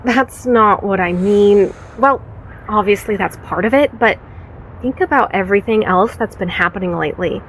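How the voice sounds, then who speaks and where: An actress playing a serious, weary small-town sheriff in an audio drama, measured and authoritative. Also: female voice, medium pitch, lightly acted, low-quality recording. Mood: thoughtful, serious. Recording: faint vehicle ambience noise.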